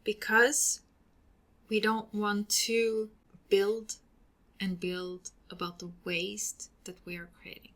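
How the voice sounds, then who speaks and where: A woman from Sweden with a Swedish accent, hosting a solo podcast and giving earnest advice, often trailing off into breathy ends. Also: mid-range voice, level tone, takes it slowly, a bit bright. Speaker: podcast host.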